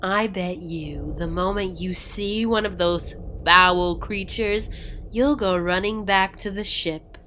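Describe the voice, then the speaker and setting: A woman's voice: mid-range voice, slow pace, fairly bright tone, slight background noise. A woman playing a stern, seasoned explorer with a curt, exasperated manner in an audio drama. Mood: stern, warning.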